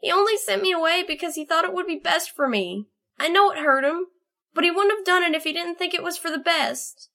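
A woman's voice: extremely high pitch, lively intonation, fairly quick, pushed and bright, heavily acted. A woman voicing a small, homesick young female pony in a storybook, earnest and pouty with a pleading manner. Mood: hurt, insistent. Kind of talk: storybook character acting.

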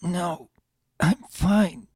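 A performer voicing a gentle, dying young male pegasus colt, breathless, weak and raspy, in a story reading. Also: mid-range voice, fairly even intonation, drawn-out words, no room sound, clean studio sound, pitched above the performer's own voice, full character voice. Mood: weak, wavering.

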